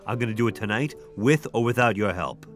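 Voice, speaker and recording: An actor playing an aging, stubborn police detective in a radio drama, terse and gruffly confident. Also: male voice, on the low side, expressive, fast talker, a bit bright, faint music behind. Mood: cocksure.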